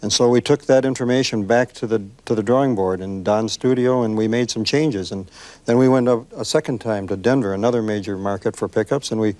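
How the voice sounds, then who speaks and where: Low-pitched American male, corporate panelist, measured and halting delivery. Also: a little mellow, dry recording. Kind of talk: panel discussion about product development.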